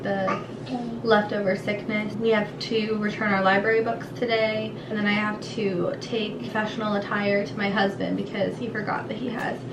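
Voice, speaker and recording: A young woman chatting casually in a vlog, rambling and informal, recorded indoors at home. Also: mid-range voice. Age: young adult. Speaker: vlogger and mother of a young child. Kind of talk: casual vlog chat to camera. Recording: echoey room, clearly noisy background.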